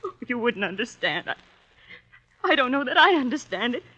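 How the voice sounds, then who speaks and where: An actress playing a bitter, anxious wife in a 1950s radio drama. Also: female voice, a little high, sing-song, moderate pace, bright, forceful tone, heavily acted. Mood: distraught. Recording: dull vintage 1950s radio recording.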